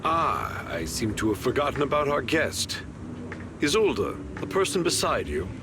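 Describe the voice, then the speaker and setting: A man's voice: medium pitch, very animated, background noise. A man voicing a condescending, pompous major general in a video game, commanding and slyly sarcastic. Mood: feigned politeness. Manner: pompous, deliberate, veiled sarcasm. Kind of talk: scripted video game voice acting.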